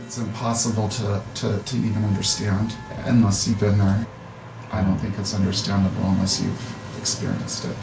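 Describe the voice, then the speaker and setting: Gravelly, self-questioning man, far off. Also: on the low side, steady tone, moderate pace. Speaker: son and caregiver. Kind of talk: documentary interview. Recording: distant echoey mic with loud music.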